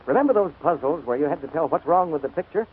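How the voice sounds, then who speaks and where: Animated male announcer, scratchy 1940s radio. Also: fairly high pitch, fast. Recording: scratchy, narrow-band 1940s radio broadcast.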